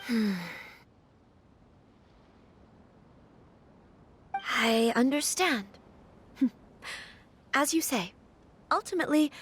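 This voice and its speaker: Casting: young noblewoman for a fantasy game, high-pitched, courteous, conflicted and hesitant. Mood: hesitant, resolving. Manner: polite, earnest, hesitant with sighs. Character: troubled young noblewoman, polite and conflicted about her wedding. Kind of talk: video game voice acting, scripted dialogue.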